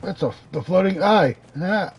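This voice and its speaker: A man's voice: sits high, expressive, moderate pace, husky, faint music behind. A man doing casual live game commentary, talking to himself as he plays. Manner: talks to himself while playing. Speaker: game streamer.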